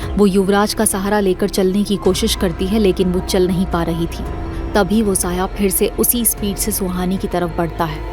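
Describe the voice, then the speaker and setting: Female narrator, lowish pitch, scripted horror storytelling, measured, dramatic, clear background music.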